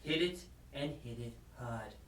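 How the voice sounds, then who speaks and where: A man's voice: mid-range voice, animated, measured pace, a bit bright. A man playing a pushy TV station manager in an audio drama. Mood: pushy, brisk. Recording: echoey, distant mic with hiss.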